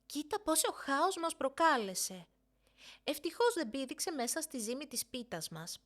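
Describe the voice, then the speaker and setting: A woman voicing a quick-witted, bossy young girl, an older sister, with an animated, insistent manner in a storybook. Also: high-pitched, pitched above the performer's own voice.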